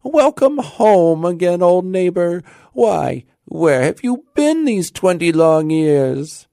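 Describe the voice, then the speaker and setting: An astonished old village woman from a storybook, put on by a male reader. She exclaims her welcome in a raised, high pitch that moves up and down freely, recorded dry. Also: very animated, slow pace, gravelly, warm tone, dry recording, heavily acted. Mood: amazed welcome. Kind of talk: audiobook character voice.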